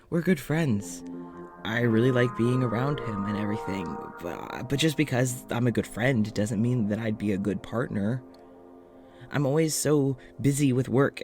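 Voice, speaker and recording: Audio drama lead: male actor as a troubled, secretive scientist, mid-pitched, halting and self-questioning, clearly backed by music. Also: natural voice. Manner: hesitant, sighing, self-questioning. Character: anxious, self-doubting male scientist who studies creatures, secretly undying. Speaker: lead voice actor in a fiction podcast. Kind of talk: confessional audio drama monologue.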